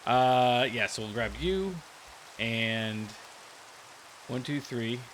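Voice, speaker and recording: A man's voice: mid-range voice, lively intonation, drawn-out words, fairly bright tone, slight background noise, rough recording. A man giving casual, rambling commentary while playing a video game, thinking aloud with many restarts. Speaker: gaming video creator. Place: cold room with a heater.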